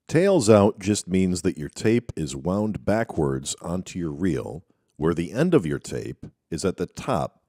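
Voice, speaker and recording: A man's voice: deep voice, mellow, dry recording, clean studio sound. A man presenting an instructional video in scripted narration.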